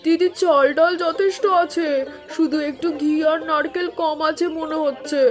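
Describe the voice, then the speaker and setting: A helpful neighbour woman from an animated village tale, acted by a woman. Her voice sits high and sounds friendly. Music runs underneath. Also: normal speed. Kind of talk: cartoon voice acting.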